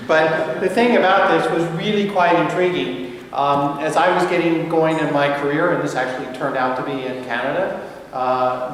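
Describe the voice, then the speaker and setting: A man's voice: fairly high pitch, recorded from a distance, a little hiss or hum. Older man lecturing in an echoey hall. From United States. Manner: rambling, friendly, many fillers and restarts. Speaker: guest lecturer. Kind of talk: academic lecture with anecdotes.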